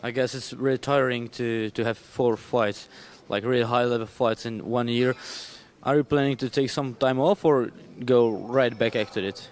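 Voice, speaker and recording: A man's voice: mid-range voice, fairly even intonation, normal speed. A man working as a sports reporter, putting plain, direct questions to a fighter in English. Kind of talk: interview questions.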